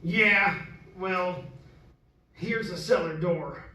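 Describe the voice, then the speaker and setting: A man's voice: high-pitched, extremely slow, pushed and bright, distant mic, heavily acted. An actor playing a brash, plain-spoken skeptical father in a radio horror play, folksy and dismissive. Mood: blustery. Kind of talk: radio horror drama acting. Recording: roomy, off-mic, faint music.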